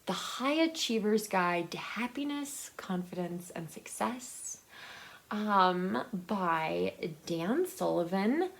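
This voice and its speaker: A woman talking casually and unscripted as a book vlogger, in a halting way, thinking aloud and rephrasing as she goes. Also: mid-range voice, lively intonation, gravelly.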